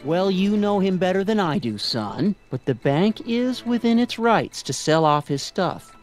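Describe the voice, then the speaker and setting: Mild high-voiced American dad. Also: male voice, big pitch swings, a little slow, faint music behind. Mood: gently reasoning. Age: middle-aged. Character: mild, conciliatory middle-aged American father. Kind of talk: video game voice acting.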